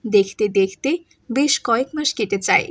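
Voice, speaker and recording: Female narrator, high voice, scripted storytelling. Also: moderate pace, husky.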